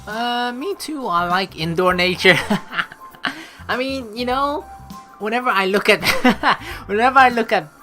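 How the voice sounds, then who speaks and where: A woman's voice: fairly high pitch, lively intonation, takes it slowly, fairly bright tone. A woman doing casual, chatty, rambling let's play commentary, reading out a game and reacting playfully. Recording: music under the voice. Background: game music.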